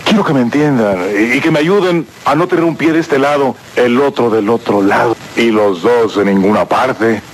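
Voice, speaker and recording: A man's voice: medium pitch, moderate pace, a little hiss or hum, natural voice, rough recording. An actor playing a proud, wounded man in a film monologue, with an emphatic, colloquial delivery. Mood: wounded, pleading. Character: proud, wounded Mexican American man, torn between two countries.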